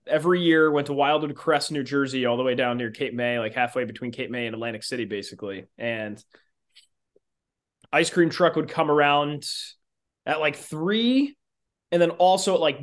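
A man from the United States chatting casually as a podcast co-host. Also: mid-range voice. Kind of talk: casual chat.

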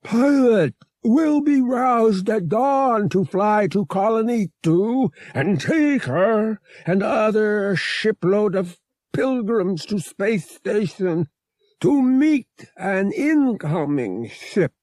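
High, drawn-out furry alien giant. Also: male voice, lively intonation, a little mellow, no echo. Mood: urgent, anxious. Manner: clipped broken grammar, third-person self-reference. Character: huge furry male cat-like alien, earnest and anxious. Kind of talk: audiobook character voice.

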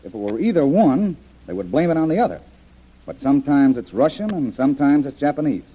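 Hearty adventure hero, husky, animated intonation, vintage radio drama, dull recording. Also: male voice, mid-range voice, fairly quick. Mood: intrigued. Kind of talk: old-time radio adventure serial acting. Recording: muffled vintage radio broadcast.

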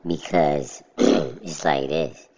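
Man with a very deep, gravelly voice, slow and steady monotone delivery; casual monologue, dull lo-fi sound. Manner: steady, plainspoken, self-described monotone. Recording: dull lo-fi recording.

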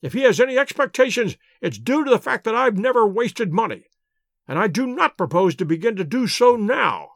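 A man reading an audiobook, voicing a querulous, stingy little old nobleman with a sharp, crackling voice and a quick, intense, scolding manner. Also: high voice, sing-song, vocal fry, no echo, clean studio sound, voice pushed up, heavily acted. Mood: irate, miserly. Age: older. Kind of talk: audiobook character voice.

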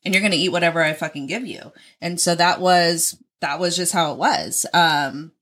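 A woman's voice: fairly low pitch, normal speed, fairly bright tone. A young adult woman co-hosting a podcast, talking candidly and casually, swearing a lot and laughing easily. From Oregon, US. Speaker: podcast co-host.

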